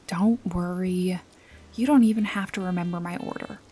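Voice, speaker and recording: A woman playing a wry, bossy detective-bodyguard in an intimate noir ASMR roleplay, with a teasing, casually threatening manner and a breathy voice that often drops toward a whisper. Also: on the low side, steady tone, normal speed, warm tone. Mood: teasing, commanding.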